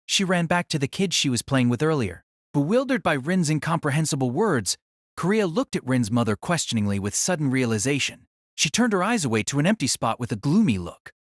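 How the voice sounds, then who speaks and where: A man narrating a novel in a solemn, theatrical style with deliberate phrasing. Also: on the high side, dry recording, clean studio sound. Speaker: audiobook narrator. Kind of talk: dramatic scripted novel narration.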